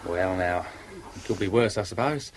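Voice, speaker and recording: Low-voiced, wry police inspector, played by a man. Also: normal speed, lightly acted, poor audio. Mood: wry, unsympathetic. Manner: wry, folksy, methodical questioning. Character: wry, blunt, unsentimental male police inspector. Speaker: actor in a radio drama. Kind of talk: 1970s radio drama dialogue. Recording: old radio drama recording, faint noise.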